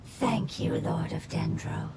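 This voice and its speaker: A woman voicing a solemn, grateful and loyal spirit creature in a video game, formal in manner, with a breathy, whispery voice. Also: low voice, slow pace, very noisy, exaggerated voice. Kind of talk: scripted video game dialogue. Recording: loud game music behind the voice.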